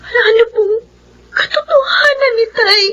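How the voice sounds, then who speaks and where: Woman as a high-voiced, tearful frightened daughter. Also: measured pace, bright, forceful tone, raised voice, dull audio, heavily acted. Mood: tearful, pleading. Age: young adult. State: crying. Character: frightened, tearful young daughter pleading with her father. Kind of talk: radio drama acting.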